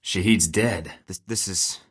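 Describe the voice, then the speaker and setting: A man's voice: sits low, unhurried, breathy edge. A man voicing a wry young secret agent who stays cool under pressure and talks in a dry, sarcastic, clipped way, recorded as video game voice lines. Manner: dry, sarcastic, clipped radio-style field talk.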